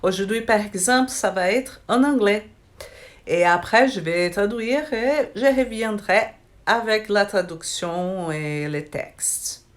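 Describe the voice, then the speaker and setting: A woman vlogger with a Brazilian Portuguese accent talks slowly to her phone, held in her hand. She stumbles and restarts often, with a medium-pitched voice. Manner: hesitant, many restarts and fillers. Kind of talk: casual chat to camera.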